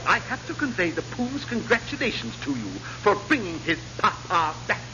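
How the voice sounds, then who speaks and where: A blustering English navy captain in a classic radio sitcom. He is pompous and easily flustered, with a very animated, high voice. The old recording is muffled. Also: moderate pace, background noise. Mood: exasperated. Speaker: actor in radio comedy.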